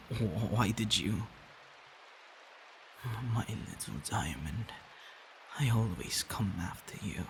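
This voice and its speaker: A man acts out a possessive, worried vampire lover for an ASMR audio roleplay. His voice is low and full of gravelly fry, and he speaks very slowly. The delivery is hushed, intimate and protective, with halting phrases that drift into whispers. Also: slight background noise, full character voice, rough recording. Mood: flustered.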